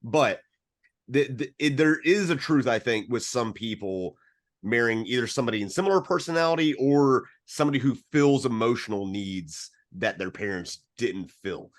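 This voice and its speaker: Male film podcast co-host, mid-pitched, casual and opinionated, dry wit, salty language. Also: expressive, normal speed. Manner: wry, profane, opinionated.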